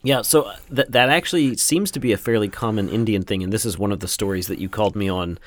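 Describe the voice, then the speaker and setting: A male podcast host with a very deep voice asks his guest questions. He explains things earnestly for the listeners. The sound is dry and close to the mic. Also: fast talker, dry recording. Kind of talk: conversational interview.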